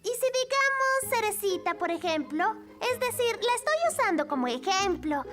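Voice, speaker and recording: A woman's voice: very high-pitched, lively intonation, moderate pace. A woman voicing an eager, anxious young girl in a children's cartoon, speaking in a hesitant, rambling way. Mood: nervous, evasive. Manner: hesitant, rambling when nervous. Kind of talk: animated cartoon dubbing. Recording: background music under the voice.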